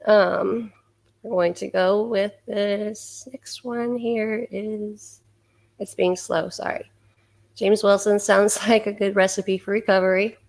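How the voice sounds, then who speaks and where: A woman hosting a livestream, reading out viewer comments and chatting, hoarse from losing her voice and clearing her throat now and then. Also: mid-range voice, flat delivery, takes it slowly, a little mellow. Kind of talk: casual chat.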